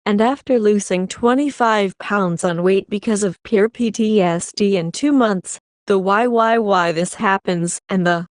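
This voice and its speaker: A woman reading an online relationship story aloud in the first person, at a steady, even pace. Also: medium pitch, measured pace, few pauses, dry recording, clean studio sound. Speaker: story reader.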